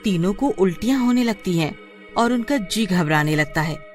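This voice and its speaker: A woman's voice: mid-range voice, animated, moderate pace. Woman narrating a story over music. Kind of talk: scripted cartoon storytelling narration.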